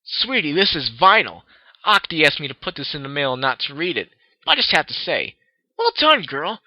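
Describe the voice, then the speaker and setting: A brash, upbeat DJ mare talks loud and breezy, as a man acts her out. Her voice sits higher than the reader's own. The recording is dry and a little dull. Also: big pitch swings, moderate pace, dry recording. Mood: cheeky.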